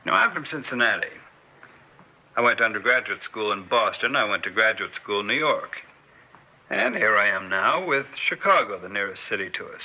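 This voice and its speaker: A man's voice: lowish voice, big pitch swings, moderate pace. An actor playing an intense, embittered man in a 1960s radio drama, emphatic and challenging. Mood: sardonic, insistent. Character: intense, embittered non-scientist man with a grudge. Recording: muffled, noisy old radio recording.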